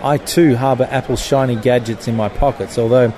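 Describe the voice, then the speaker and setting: A man's voice: mid-range voice, steady tone, a little fast, crowd noise. A man reading his own satirical column in a wry, mock-solemn way. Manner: wry, mock-biblical delivery. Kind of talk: satirical scripted narration. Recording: music bed under the voice.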